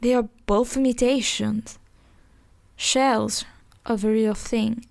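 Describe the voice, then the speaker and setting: An actress playing a territorial, indignant nature goddess in a fantasy audio drama, exasperated and argumentative. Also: female voice, a little high, steady tone, slow pace, gravelly.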